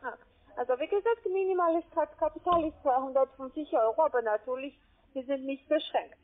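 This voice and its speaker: High-pitched foreign-accented woman on the phone. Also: brisk. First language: not German. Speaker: call-centre sales agent. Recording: narrow, muffled phone line.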